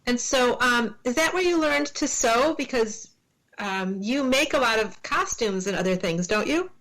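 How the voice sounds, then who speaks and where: A woman's voice: on the high side, animated, moderate pace, a bit bright, low-quality recording. A woman hosting a podcast interview, asking friendly, encouraging questions.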